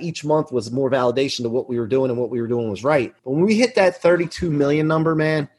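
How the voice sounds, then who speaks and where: Husky, higher-voiced man in his forties. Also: a little mellow. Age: 40s. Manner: emphatic, self-assured, earnest. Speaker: podcast guest, business executive. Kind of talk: motivational interview talk, anecdotes.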